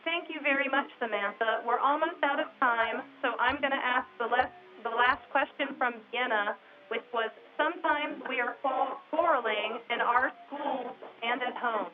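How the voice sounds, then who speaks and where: A woman moderating a live event, reading out children's questions in a clear, formal manner. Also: high voice, normal speed. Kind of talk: moderating a live link with schools. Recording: dull lo-fi audio with a crowd behind.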